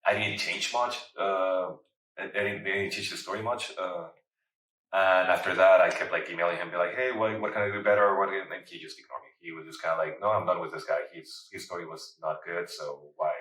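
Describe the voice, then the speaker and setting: Deep-voiced Mexican-born man, roomy. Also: fairly even intonation, fairly quick, fairly bright tone. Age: 30s. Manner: chatty, many fillers and like. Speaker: photographer and writer. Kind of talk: casual interview answers. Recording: roomy, distant mic.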